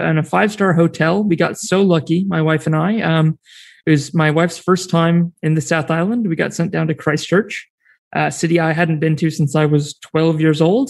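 American man, podcast guest, slightly high voice, rambling and chatty. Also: moderate pace, vocal fry. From New Zealand and United States. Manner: rambling, many fillers and restarts, laughs easily. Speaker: podcast network founder, guest. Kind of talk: casual interview chat.

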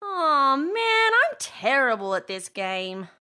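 A woman voicing an eager, kind young girl in a children's audio story, with a warm, enthusiastic manner. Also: high-pitched, sing-song, slow, full character voice. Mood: glum, playful.

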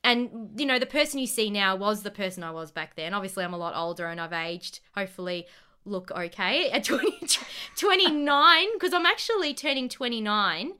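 A woman's voice: medium pitch, expressive, normal speed, pushed and bright, clean tone. An Australian woman in her late twenties, a podcast guest, talking in a bubbly, earnest way and laughing often. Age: 20s. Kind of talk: casual podcast chat.

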